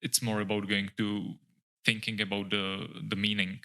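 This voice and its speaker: A middle-aged man speaks English in a low, level voice with a bright, forward edge. He talks in a considered, halting way, stopping often to search for the next word. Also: level tone. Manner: thoughtful, halting, with many "uh" pauses and restarts. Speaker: architect guest. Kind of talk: casual interview chat.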